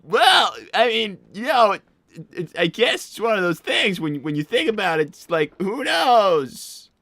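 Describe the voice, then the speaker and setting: Performer doing a bright, stammering young boy fox. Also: medium pitch, big pitch swings, a little slow, no echo, heavily acted. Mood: awkward, bashful. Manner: stammering, hesitant, waffling. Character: bashful, evasive, boyish young male fox. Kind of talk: improvised live character roleplay.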